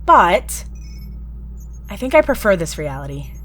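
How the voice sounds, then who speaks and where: A woman's voice: fairly low pitch, big pitch swings, unhurried, a bit bright, soft background music. A woman voicing an earnest female rabbit prosecutor in a fan audio drama.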